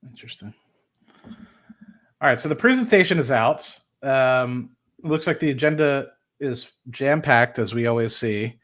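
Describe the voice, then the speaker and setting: Male host, medium-pitched voice, casual live commentary, reading and reacting in an informal thinking-aloud way. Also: lively intonation, a little slow, fairly bright tone. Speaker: host of an investing livestream.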